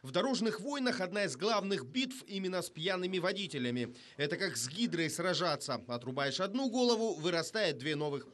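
A man narrates a television news report in a fairly high voice. His delivery is scripted and polished, with a wry, ironic edge. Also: big pitch swings, a little fast, hardly any pauses, a bit bright.

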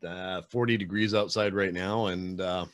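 A man's voice: low voice, steady tone, moderate pace, fairly bright tone. A man in his forties chats as a podcast host. He is informal and pokes fun at himself, with loose, salty language. He sits close to a studio mic in a small home room. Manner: informal, self-deprecating, sprinkled with swearing. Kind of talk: casual interview chat. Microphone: studio microphone with pop filter, close.

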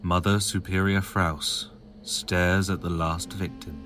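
Male narrator, deep voice, scripted dramatic storytelling, prominent music bed. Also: little pitch movement, slow pace, fairly bright tone.

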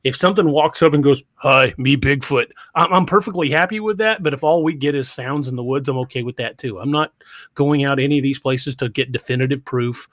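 A man's voice: medium pitch, brisk, a bit bright, no echo. A middle-aged man, hosting a casual livestream podcast, often restarting his sentences. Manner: stammering restarts, chatty and joking. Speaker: podcast host. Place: home room.

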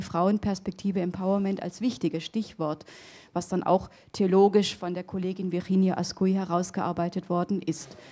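Woman, middle-aged, academic lecture, handheld mic, lecture hall, warm low voice, hesitant fillers. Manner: hesitant, many fillers and restarts. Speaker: theology lecturer. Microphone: handheld microphone.

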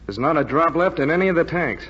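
A man's voice: fairly high pitch, lively intonation, fast, fairly bright tone, breathy edge. An actor playing a dutiful, worried American enlisted man, deferential, in a 1940s radio drama. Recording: muffled, echoey 1940s radio recording.

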